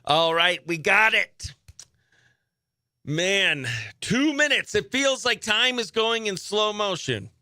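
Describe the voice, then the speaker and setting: A man with a high, bright, smooth voice hosts country morning radio. He works like a showy announcer, pumping up each introduction and bantering playfully with listeners. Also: lively intonation, slow, no echo, clean studio sound. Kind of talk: excited live radio presenting and chat.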